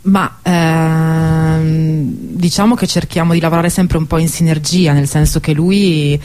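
A woman's voice: low voice, fairly even intonation, measured pace, darkish tone. A woman speaking as a guest in a radio interview, reflective and somewhat hesitant as she works out her thoughts. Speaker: stage actress, guest. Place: radio studio.

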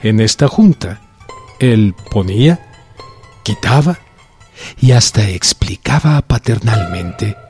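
Narrador mexicano teatral, muy expresivo. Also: male voice, on the low side, expressive, takes it slowly, pauses often, darkish tone, faint music behind. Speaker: radio drama narrator. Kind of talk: scripted narration addressed to listeners.

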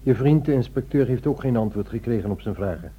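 An actor playing a guarded, courteous husband in a radio play, speaking in a measured, quietly firm and wry way. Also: male voice, medium pitch, lively intonation, slightly rough, narrow, tinny audio. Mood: subdued.

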